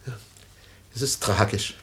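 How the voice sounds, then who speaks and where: A man's voice: a little slow, fairly bright tone, a little hiss or hum, natural voice. An actor playing a vain, self-pitying middle-aged family man in a radio play, swinging between grumbling and pathos. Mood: mournful.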